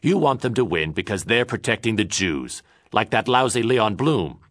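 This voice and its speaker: A man voicing a blustering, bigoted meat man who talks loudly and mockingly. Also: on the low side, sing-song, normal speed, no echo, studio quality, voice pushed up. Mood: angry. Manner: loud, mocking, boorish.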